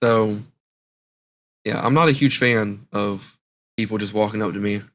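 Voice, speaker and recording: A young man co-hosting a podcast in casual chat, talking in a dry, low-key, slightly awkward way. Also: steady tone, unhurried, fairly bright tone. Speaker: podcast co-host.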